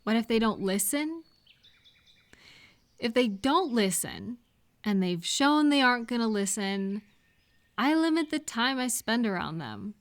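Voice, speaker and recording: Woman playing a gentle reassuring friend in an audio roleplay, kinda high voice, takes her time talking. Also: very animated, unhurried, long pauses. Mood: calm, reassuring. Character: supportive, gentle female friend gardening with the listener. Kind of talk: audio roleplay addressed to the listener, casual and intimate.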